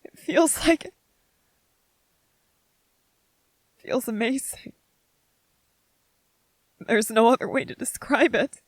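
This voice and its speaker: A woman's voice: fairly high pitch, slow pace, no echo, poor audio. A woman playing a tender, anxious young man in an ASMR roleplay drama, stammering and repeating phrases as they speak to the listener. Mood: overwhelmed, breathless wonder.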